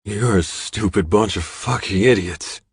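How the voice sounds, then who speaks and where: A defiant male captive in an audiobook thriller, acted by a male narrator. He sneers and goads his captors in a raised, forceful pitch. The sound is dry and close. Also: measured pace, slightly rough, no room sound, full character voice. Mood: taunting, defiant. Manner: sneering, goading.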